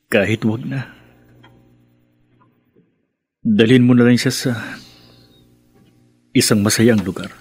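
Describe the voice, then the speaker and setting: A man's voice: mid-range voice, steady tone, unhurried, a little mellow, husky. An actor playing a remorseful, ashamed prisoner, a husband and father, in an emotional Filipino radio drama. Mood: subdued, withdrawn. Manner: emotional, pleading, repeats phrases. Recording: music behind the voice.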